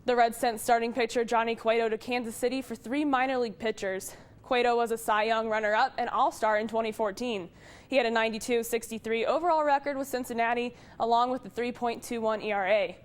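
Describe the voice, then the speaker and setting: Female TV sports reporter, scripted news narration, voice on the high side. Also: fairly even intonation, a little fast, clean tone.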